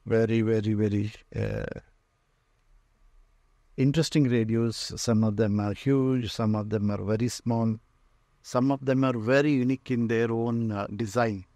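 Indian guy showing round his collection, soft dark tone, really slow with lots of pauses, dry sound. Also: on the low side, steady tone, dry recording. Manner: halting, pausing often mid-phrase. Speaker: museum owner and radio restorer giving a tour. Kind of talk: informal narrated walk-through tour. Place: indoor museum and workshop room full of shelves.

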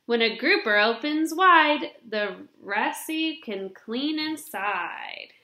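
A young adult woman reading a children's picture book aloud in an expressive, deliberate storybook delivery, recorded in a room at home. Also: a little high. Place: small indoor room at home. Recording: roomy, echoing home webcam recording.

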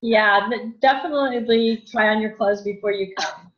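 Lady guest giving casual tips, mid-range voice, matter of fact, sound's a bit muffled. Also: moderate pace. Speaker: guest style advisor. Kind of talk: casual advice in conversation. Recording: dull, muffled low-fidelity audio.

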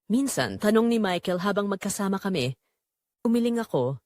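A woman's voice: medium pitch, lively intonation, moderate pace, a little mellow, no echo, studio quality. A woman narrating a scripted dramatic story in the first person.

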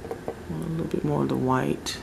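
A woman casually talking through a home nail tutorial, relaxed and chatty, often searching for words. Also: low-pitched, normal speed, gravelly, noisy background. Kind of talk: casual how-to narration. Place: home table, indoors.

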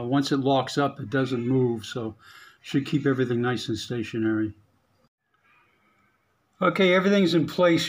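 A man giving casual, unscripted how-to commentary on an electronics project, halting as he thinks aloud. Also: medium pitch, slight background noise. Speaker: hobbyist narrating an electronics modification. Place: indoors at a work table.

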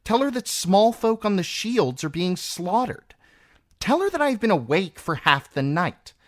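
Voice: mid-range voice, sing-song, takes it slowly, a little mellow, dry recording, clean studio sound, pitched above the performer's own voice. A narrator voicing a proud, impatient, scheming queen in an audiobook, with an imperious, cutting and scornful manner. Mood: snappish.

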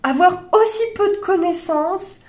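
Exasperated hoarse woman reacting at her desk. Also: very high voice, measured pace, a little mellow. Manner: exasperated, incredulous, many fillers and restarts. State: slightly hoarse with a cold. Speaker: horse channel host reacting to videos. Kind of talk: casual reaction commentary. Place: small bedroom with gaming chair.

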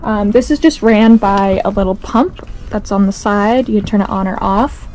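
A woman's voice: mid-range voice, normal speed, darkish tone, soft background music. A young woman giving an upbeat, giggly tour inside a camper van, talking to a handheld camera. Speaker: vlogger. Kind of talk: casual van tour vlog. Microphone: handheld camera, close then walking around.

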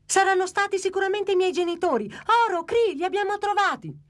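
A woman's voice: very high voice, quick pace. A woman voicing a young, eager dinosaur searching for its parents in a cartoon dub. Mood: excited. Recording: music faintly under dialogue.

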